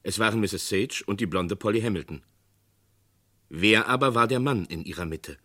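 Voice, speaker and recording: A man giving measured, dramatic narration of a radio crime play. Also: fairly low pitch, pushed and bright.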